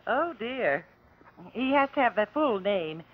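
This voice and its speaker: A woman's voice: a little high, sing-song, a little slow. An actress playing a polite, businesslike and helpful female hotel switchboard operator in a radio drama. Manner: courteous, businesslike. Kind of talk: old radio drama acting. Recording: muffled vintage radio drama.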